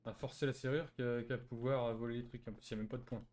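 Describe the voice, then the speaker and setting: A man's voice: medium pitch, fairly even intonation, fast talker, a little mellow. A man chatting casually while playing an online role-play game, grumbling and arguing. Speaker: gamer playing a troll robber. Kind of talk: casual improvised game chat.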